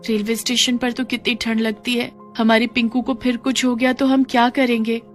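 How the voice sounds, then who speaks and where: A woman's voice: fairly high pitch, little pitch movement, moderate pace, soft background music, pitched above the performer's own voice, close to an everyday voice. A woman voicing an anxious, caring little girl in a cartoon moral story. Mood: worried, urgent.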